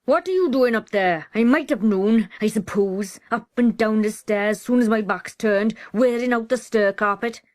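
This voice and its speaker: A woman voicing a loud, hectoring, bullying middle-aged man. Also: a little high, moderate pace, hardly any pauses, lightly acted. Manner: roaring, hectoring.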